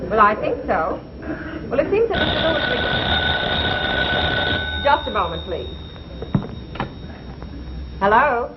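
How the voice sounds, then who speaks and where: A dull, very noisy old broadcast with a loud audience. a polite, efficient comedy receptionist, speaking in an animated, unhurried voice. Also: female voice, a little high. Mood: busy, polite. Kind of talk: 1950s comedy acting.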